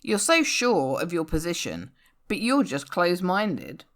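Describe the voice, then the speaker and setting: A smug young hippie woman, as a female poetry reader puts her on, lecturing with self-assured certainty. Her voice sits fairly low and moves slowly, in the style of a performed comic poem. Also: wildly swooping pitch, full character voice.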